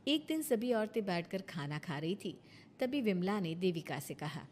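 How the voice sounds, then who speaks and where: A woman's voice: mid-range voice, sing-song, moderate pace, a little mellow, a little hiss or hum. A woman narrating a scripted bedtime moral story as its storyteller.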